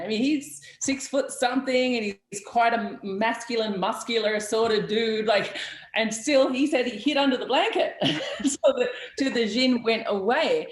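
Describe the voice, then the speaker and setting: A woman at a mid pitch, talking bluntly and casually with plenty of ums and quick laughs. Her voice sits in a roomy space with a noticeable echo. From Australia. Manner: blunt, chatty, laughs often, many fillers and restarts. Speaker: witchcraft talk show host. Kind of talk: casual chat answering viewer questions.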